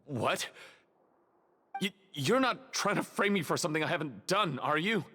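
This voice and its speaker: A man's voice: a little high, animated, normal speed, bright, forceful tone. A nervous, defensive captured crook in a video game. He stammers and sounds jittery, in a reverberant space with faint noise. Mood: indignant. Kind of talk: video game dialogue acting.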